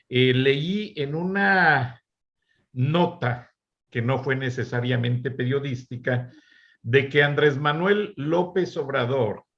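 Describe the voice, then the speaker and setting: A man hosting a panel discussion who talks in a calm, measured way. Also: medium pitch, muffled recording. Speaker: host.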